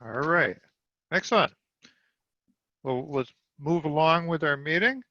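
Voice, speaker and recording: A man chairing a meeting over a video call, brisk and upbeat as he moves things along. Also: fairly high pitch, expressive, sharp tone, no echo. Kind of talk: meeting facilitation. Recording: dull, dry video call audio.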